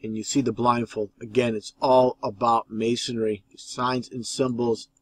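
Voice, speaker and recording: A man's voice: mid-range voice, level tone, a little slow, husky, dry recording. A man giving conspiracy commentary over video clips as the host, explaining in an insistent, repetitive way.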